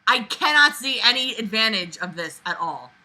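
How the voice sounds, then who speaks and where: Very bright-voiced, emphatic woman reacting out loud. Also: on the high side, moderate pace, low-quality recording. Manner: animated, exasperated, emphatic, dramatic swings from muttering to shouting. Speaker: host reading a book aloud with commentary. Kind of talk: reading aloud with outraged, profane reaction commentary.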